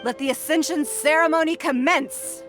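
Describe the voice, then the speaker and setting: A woman playing a poised, shrewd, wealthy noblewoman in a video game, speaking in a formal, measured, authoritative way. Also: high voice, fairly even intonation, music in the background, close to an everyday voice. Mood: solemn, proud.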